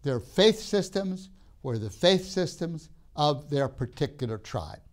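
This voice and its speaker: An older man who grew up in Tanzania, giving a measured classroom lecture with emphatic pauses, recorded on a lapel mic in a studio classroom. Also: fairly high pitch, very animated. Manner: measured, emphatic pauses, gestures while talking.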